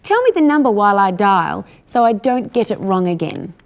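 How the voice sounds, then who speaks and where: A woman's voice: medium pitch, big pitch swings, normal speed. A woman presenting a scripted English lesson with careful, instructional delivery. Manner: didactic, careful articulation.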